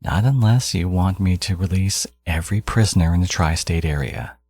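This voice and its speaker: A man playing a smug, possessive supervillain genius in an intimate audio roleplay, taunting his hero in a theatrical, teasing drawl with a gravelly, husky voice. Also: low voice, normal speed, a little mellow, dry recording, studio quality. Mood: teasing, menacing.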